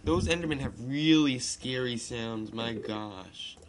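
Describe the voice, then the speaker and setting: A man's voice: medium pitch, takes it slowly, slight background noise. A man doing casual game commentary and amateur read-aloud narration, informal, with stumbles and ad-libs. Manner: informal, loosely reads text with stumbles and ad-libs. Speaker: gaming video narrator. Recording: music playing behind the voice. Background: guitar and piano music.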